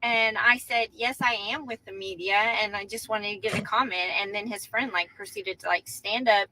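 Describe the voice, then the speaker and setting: A shaken woman giving an eyewitness account in a halting, filler-heavy way. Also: medium pitch, steady tone, background noise. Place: outdoors by a courthouse.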